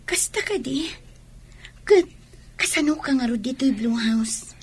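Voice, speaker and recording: An actress with an Ilocano Filipino accent playing a self-centred, demanding young college student with a husky voice in a radio drama. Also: female voice, high-pitched, lively intonation, unhurried, slight background noise.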